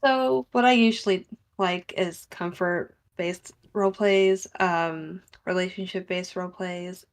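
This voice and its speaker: A woman's voice: on the low side, fairly even intonation, measured pace. A woman chatting casually as a panelist. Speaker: panelist, writer. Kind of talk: casual chat.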